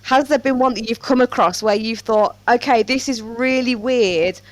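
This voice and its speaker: A woman's voice: on the high side, moderate pace, dry recording. A woman chatting casually as a podcast host about her interests. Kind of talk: casual book podcast chat.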